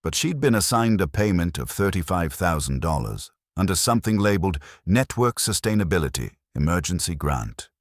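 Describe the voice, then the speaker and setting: A man's voice: deep voice, big pitch swings, normal speed, few pauses, no room sound, studio quality. A man reading a first-person detective story as scripted narration. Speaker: audiobook narrator.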